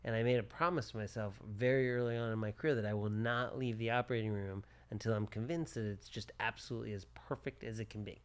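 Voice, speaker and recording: A man's voice: fairly low pitch, fairly even intonation, fast talker, few pauses, smooth. A man in his forties, a plastic surgeon co-hosting a podcast, chatting casually in short repeated phrases. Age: 40s.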